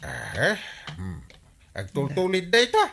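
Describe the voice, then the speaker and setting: An actor playing a naive, timid, excitable husband in an Ilocano radio comedy drama, stammering and exclaiming as he goes. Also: sits high, takes it slowly, heavily acted. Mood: eager, naive. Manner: stammering, exclaiming, stalling with interjections.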